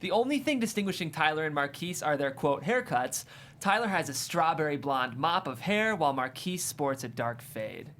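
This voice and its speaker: A man's voice: on the high side, animated, normal speed, a bit bright. A man hosting a table read show, chatting and narrating script directions in a studio.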